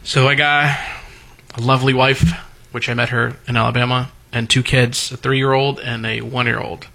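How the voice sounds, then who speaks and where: Gravelly bright-voiced Brazilian-born man. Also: medium pitch, level tone, normal speed, poor audio. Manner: polite, plainspoken. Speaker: guest entrepreneur. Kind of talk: casual radio interview. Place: radio studio.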